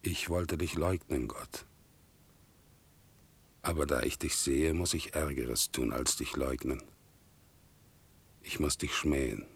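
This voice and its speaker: Casting: wretched, defiant man for radio drama; bottomless bass, rough and husky, solemn and accusing. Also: exaggerated voice. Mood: bitter accusation. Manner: slow, halting, bitterly accusing. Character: sick, despairing, defiant man raging against God. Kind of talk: radio play acting, solemn monologue. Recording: 1960s radio drama production.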